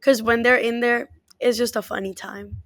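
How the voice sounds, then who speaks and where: Bright-voiced woman athletic trainer, dry. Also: on the high side, steady tone, moderate pace, no room sound. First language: Spanish. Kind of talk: interview answers.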